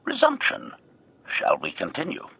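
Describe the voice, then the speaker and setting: A man's voice: low-pitched, huge pitch swings, normal speed, gravelly, bright, forceful tone, breathy edge, heavily acted. A man voicing a loyal, formal assassin droid in a sci-fi game, announcing the intent of each statement with stiff precision. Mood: formal, analytical.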